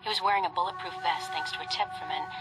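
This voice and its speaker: A woman voicing a supportive intelligence handler in a video game, brisk and informative. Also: lowish voice, fairly even intonation, loud music behind the voice, lightly acted, low-quality recording. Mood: businesslike.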